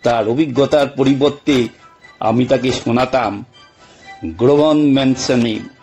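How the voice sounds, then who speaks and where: A man narrating an audio story in the first person, with theatrical, deliberate phrasing and frequent pauses. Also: mid-range voice, darkish tone. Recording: music bed behind narration.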